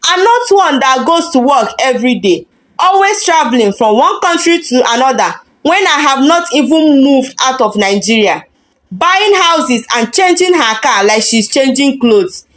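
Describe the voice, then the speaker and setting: A woman's voice: sits high, very animated, normal speed, a bit bright. A woman voicing an arrogant, chauvinistic boyfriend in a story, pompous and dismissive. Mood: boastful, demanding. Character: arrogant, chauvinistic boyfriend demanding a submissive wife.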